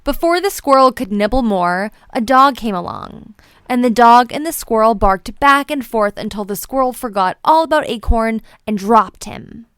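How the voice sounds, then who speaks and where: A woman's voice: fairly high pitch, lively intonation, moderate pace, fairly bright tone, clear, resonant tone, no echo. A woman narrating a children's storybook expressively, with playful, dramatic emphasis. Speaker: children's book read-aloud presenter.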